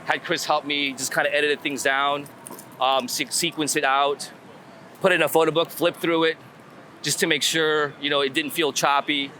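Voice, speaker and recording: A man with a bright, slightly high voice talks casually in a walk-and-talk interview. He thinks aloud in a halting way, repeating words. Light city traffic sits behind him outdoors. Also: level tone. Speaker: street photographer guest. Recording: outdoor street recording with city traffic noise. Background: urban ambience and vehicles.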